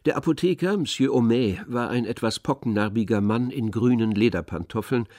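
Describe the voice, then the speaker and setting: A man narrating a literary radio drama from a script in a measured, descriptive way. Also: mid-range voice, darkish tone, no room sound, clean studio sound.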